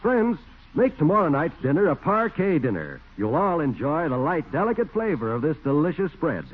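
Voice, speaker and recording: Animated 1940s radio announcer, male. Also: fairly high pitch, normal speed, phone-quality sound. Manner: polished, emphatic sales delivery. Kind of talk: 1940s radio advertising and scripted narration. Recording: band-limited 1940s radio broadcast.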